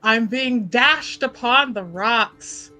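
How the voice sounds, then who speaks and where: A woman's voice: on the high side, takes it slowly, pushed and bright, soft background music. A woman voicing a melodramatic, self-pitying man who plays the martyr, theatrical and wailing. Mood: mock woe.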